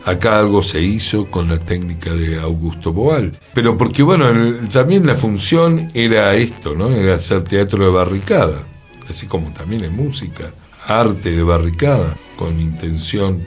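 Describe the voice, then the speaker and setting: A man's voice: low voice, animated, moderate pace, darkish tone, soft background music. A man with a Rioplatense Argentine accent discussing ideas conversationally, emphatic and given to rhetorical tag questions.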